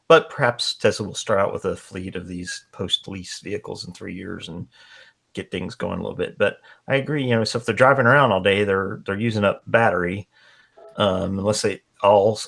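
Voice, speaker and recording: A man with a fairly low voice talks through a podcast discussion with blunt skepticism. He presses his points hard and swears when he gets worked up, in a casual, conversational delivery. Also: gravelly, fairly bright tone. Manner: skeptical, emphatic, swears for emphasis. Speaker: podcast co-host.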